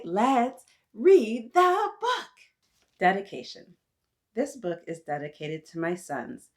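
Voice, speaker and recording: A woman with a slightly low voice hosts a story time for little kids. She speaks like an encouraging teacher, putting questions to her young listeners and praising them as she reads aloud. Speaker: children's story-time host. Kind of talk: read-aloud for young children, talking to little viewers.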